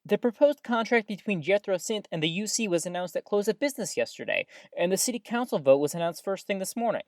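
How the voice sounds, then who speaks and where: An actress playing a defensive, grudging radio technician and co-host in an audio drama, with a casual, dry, reluctant way of talking. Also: female voice, mid-range voice, animated, fast, a little mellow, dry recording, natural voice. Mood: reasoning, dry. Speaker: radio show co-host and board operator.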